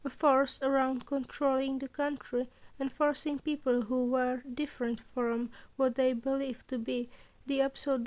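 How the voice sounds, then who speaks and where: A woman narrates a written story for a podfic. Her voice is notably high, and she delivers the prose as scripted storytelling. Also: darkish tone.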